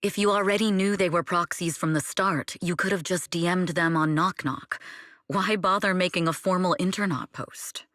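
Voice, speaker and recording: A woman playing a shrewd, composed female manager and former spy in a video game, measured, dry and matter-of-fact. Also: lowish voice, steady tone, a bit bright, no echo, lightly acted. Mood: composed, analytical.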